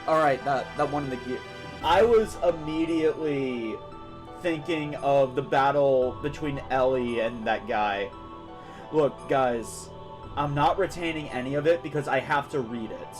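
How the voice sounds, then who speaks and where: A man's voice: mid-range voice, unhurried, music in the background. A man giving casual, opinionated, rambling commentary on a live stream while he plays a video game.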